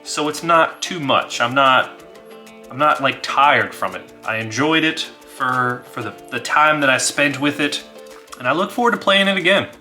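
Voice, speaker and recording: A man's voice: medium pitch, moderate pace, sharp tone, soft background music. A man who hosts a gaming channel, talking to his audience and telling stories of solo play. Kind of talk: dramatized horror story narration and casual review.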